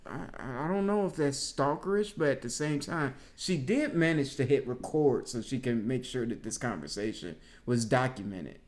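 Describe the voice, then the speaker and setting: A woman giving casual reality TV commentary as a co-host, in a measured, wry manner. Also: rumbling low, animated, darkish tone.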